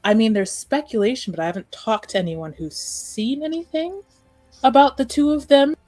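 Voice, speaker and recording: A woman's voice: fairly high pitch, moderate pace, darkish tone, no room sound, soft background music, natural voice. A woman playing a coy, gossipy masked lady in an improvised tabletop roleplay, with a theatrical, faux-scandalized manner. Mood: coyly scandalized.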